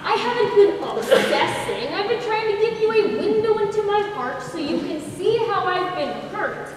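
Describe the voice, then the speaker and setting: A woman's voice: very high voice, expressive, normal speed. A young woman acting an uptight, aggrieved wife nagging her husband in a school stage play. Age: teen or young adult. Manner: scolding, indignant. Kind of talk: high school one-act stage play. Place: large indoor hall, stage performance. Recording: distant, echoey hall recording with hiss.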